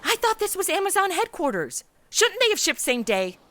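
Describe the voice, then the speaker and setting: Actress as flippant lazy modern heroine, forceful bright voice, very swoopy delivery, comedy. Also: female voice, very high voice, very animated, normal speed, exaggerated voice. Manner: sarcastic, flippant, whiny. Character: brash, lazy, self-indulgent modern party girl heroine. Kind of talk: comedy audio drama.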